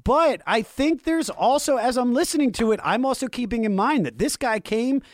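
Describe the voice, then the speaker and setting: A man's voice: very high voice, lively intonation, normal speed, no room sound, clean studio sound. A man hosting a casual music podcast, talking informally and with enthusiasm. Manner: informal, enthusiastic, uses slang and swearing. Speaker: podcast host.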